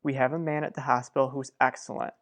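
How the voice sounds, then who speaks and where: A man's voice: mid-range voice, little pitch movement, fast, lightly acted. A reserved doctor in a radio soap, acted by a man. He sounds formal and businesslike, holding back with a restrained, firm delivery. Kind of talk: old-time radio drama acting.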